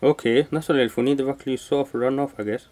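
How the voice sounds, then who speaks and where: A man's voice: mid-range voice, steady tone, fast talker, darkish tone. A man giving casual, slangy let's play commentary as a gaming host, muttering reactions, with the microphone a little away from him. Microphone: microphone not close to the speaker.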